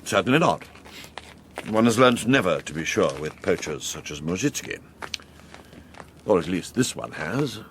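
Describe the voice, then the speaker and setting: Radio drama: arch British intelligence insider, mannered, very lively inflection, faint ambience. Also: male voice, low-pitched, normal speed, pauses often, slight background noise. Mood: dryly amused. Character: arch, urbane intelligence man, whimsical and knowing.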